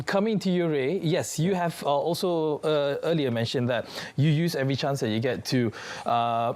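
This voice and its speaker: A man's voice: mid-range voice, darkish tone. A man with a Malaysian accent hosting a morning talk show in a chatty way. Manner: chatty, with many fillers.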